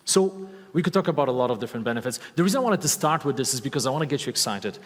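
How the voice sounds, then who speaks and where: A man in his thirties with a Polish accent speaks from a stage to a live crowd, upbeat and coaxing, throwing questions to the audience. His voice sits at an ordinary male pitch, and the big hall adds a long reverb tail. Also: lively intonation, very fast. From Poland. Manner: enthusiastic, asks the audience questions, repeats key words. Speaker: speaker giving a talk on stage.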